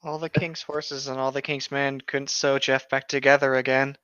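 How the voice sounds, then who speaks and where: A man's voice: mid-range voice, flat delivery, moderate pace, pushed and bright, smooth, dry recording. Man in dull, dry audio. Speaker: co-host reading a story aloud. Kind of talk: casual banter and story reading.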